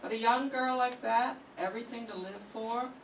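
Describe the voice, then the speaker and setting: A weary, gruff police lieutenant, read by an older woman in an old-time radio drama. The voice sits a little high and comes from far off in an echoey room, with a faint hiss under it. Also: steady tone, normal speed, lightly acted. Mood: somber. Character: weary male police lieutenant, soft-hearted. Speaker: amateur radio play performer. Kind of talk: 1940s radio acting.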